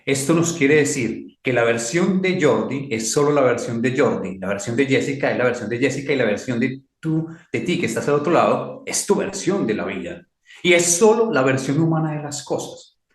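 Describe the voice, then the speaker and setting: A man from Colombia with a Colombian accent, talking as a mentor in a measured, reflective way. He leans on key phrases and says them twice. His voice has a roomy echo around it. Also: mid-range voice, lively intonation. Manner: slow, emphatic, repeats key phrases. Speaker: guest speaker, mentor. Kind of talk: reflective talk.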